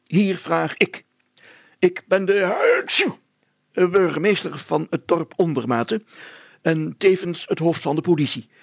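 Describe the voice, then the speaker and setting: A man voicing a short, fat, pompous village mayor, gruff, stammering and suspicious, congested with hay fever, for a storybook. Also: fairly high pitch, lively intonation, slow pace, no echo, voice pushed up, full character voice. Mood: indignant. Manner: gruff, stammering, self-important.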